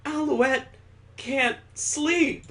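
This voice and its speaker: A man puts on an excitable young girl from a visual novel. The voice sits high and childlike. Phrases are short, simple and eager. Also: very slow, exaggerated voice. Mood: whiny. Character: excitable young girl speaking in third person.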